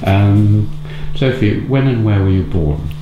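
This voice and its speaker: Older male interviewer, very low gravelly voice, soft and unhurried, echoey room with loud background noise. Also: dull audio. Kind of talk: gentle interview questions.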